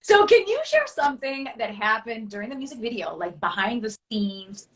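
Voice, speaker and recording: A woman's voice: on the high side, very animated, normal speed. A woman hosting a casual interview, affirming and enthusiastic, filling her talk with "like" and "right.". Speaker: podcast host.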